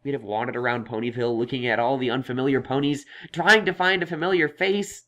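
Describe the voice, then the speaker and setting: A man's voice: mid-range voice, quick pace, pushed and bright, dull audio, close to an everyday voice. A man voicing a thoughtful, reassuring young male unicorn scholar in an audio story, explaining things earnestly. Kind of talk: acted audio story dialogue.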